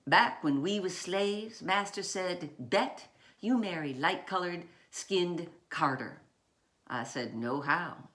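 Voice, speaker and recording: A woman's voice: on the low side, expressive, takes it slowly, a bit bright. A woman voicing a proud, defiant old grandmother in a picture book, once enslaved, telling her story in a folksy, emphatic way.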